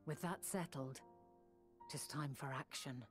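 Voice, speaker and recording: Calm, determined noblewoman sage for fantasy game: deep female register, measured archaic speech, over prominent music. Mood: thoughtful, assured. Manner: measured, formal, archaic diction. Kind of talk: dramatic video game acting.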